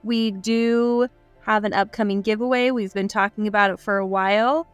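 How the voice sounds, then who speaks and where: A woman's voice: medium pitch, fairly even intonation, moderate pace, smooth, dry recording, faint music behind. A woman co-hosting a podcast in upbeat, chatty casual conversation, laughing easily. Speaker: podcast co-host. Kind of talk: casual chat.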